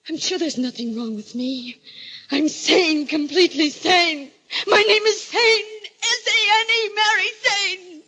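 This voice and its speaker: An actress in a vintage radio drama playing a timid, frightened wife being driven toward madness, faltering and pleading. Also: female voice, very high-pitched, measured pace, bright, forceful tone, heavily acted. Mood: hysterical. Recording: noisy vintage radio recording.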